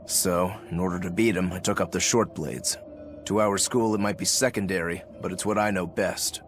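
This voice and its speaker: A man voicing a determined swordsman demon in a video game, explaining things in a measured way. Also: low-pitched, fairly even intonation. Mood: earnest, resolute. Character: determined swordsman demon, stoic younger brother to a master. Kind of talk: English video game voice acting. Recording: game music under the voice.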